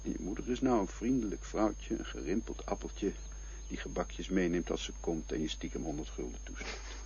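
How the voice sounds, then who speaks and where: An actor playing a reflective companion who speaks in a poetic, musing way. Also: male voice, low-pitched, normal speed, gravelly, mellow, slight background noise. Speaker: actor in a radio play. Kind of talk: radio drama acting. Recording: dull lo-fi recording with faint music.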